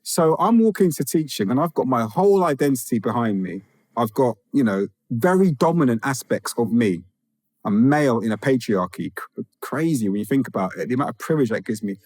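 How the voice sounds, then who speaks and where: A man's voice: medium pitch, expressive, a little fast, darkish tone, dry recording. A man from England speaks as a podcast guest, thoughtful and searching, circling back to rephrase before landing his point. His voice sits in a middle register. The sound is close and dry, with no room around it. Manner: reflective, with restarts and rising emphasis. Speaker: guest, author and teacher. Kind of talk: conversational interview.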